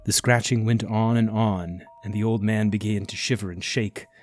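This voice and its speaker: A man reading a scripted ghost story as narrator, dramatic and at times hushed. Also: lowish voice, steady tone, normal speed, faint music behind.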